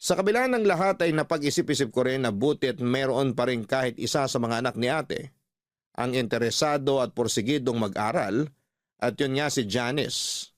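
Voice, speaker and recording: A man's voice: medium pitch, normal speed, no echo. A man narrating a scripted first-person story as a radio storyteller. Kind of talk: scripted narration of a listener's letter. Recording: dry studio voice over music.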